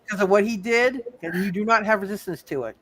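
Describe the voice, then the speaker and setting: Guy game mastering a tabletop rpg, high voice, casual, keeps stopping and repeating himself. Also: a bit bright. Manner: halting, repeats phrases as he goes.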